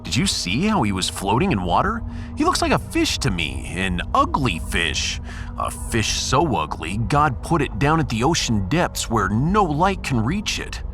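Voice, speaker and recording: A man's voice: mid-range voice, huge pitch swings, a little slow, a bit bright, soft background music. A man playing a blunt, sardonic human starship captain in a sci-fi audio drama, with a wry, theatrical delivery. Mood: disgusted.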